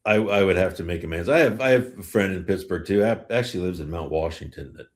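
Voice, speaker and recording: Deep-voiced man hosting a sports podcast. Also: quick pace, slight background noise. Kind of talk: casual hockey talk and question answering.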